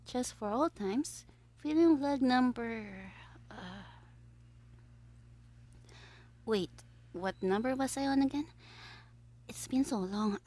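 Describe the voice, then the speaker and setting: A woman playing a mischievous, lovestruck young villain in an audio roleplay, scheming theatrically in a monologue. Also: fairly high pitch. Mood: hushed, conspiratorial. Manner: gleeful, swinging between exclamations and hushed asides.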